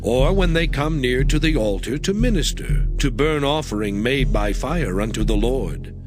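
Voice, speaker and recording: A man playing a solemn voice of divine instruction in a dramatized scripture reading, deliberate and emphatic. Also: mid-range voice, lively intonation, over music, lightly acted. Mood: solemn, instructive. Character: solemn male voice reciting God's detailed sacred instructions.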